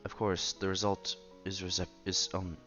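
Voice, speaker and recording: A man's voice: deep voice, completely flat delivery, measured pace, pushed and bright, dull audio. A man streaming a visual novel, reading its narration and dialogue aloud expressively. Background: game music.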